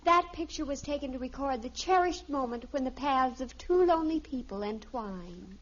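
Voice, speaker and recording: An actress playing a melodramatic, lovestruck American teenage daughter with affected sophistication in a 1950s radio sitcom. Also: female voice, high voice, animated, normal speed, a little hiss or hum. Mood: smitten, indignant. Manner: pompous, theatrical, dreamy. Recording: dull vintage radio recording.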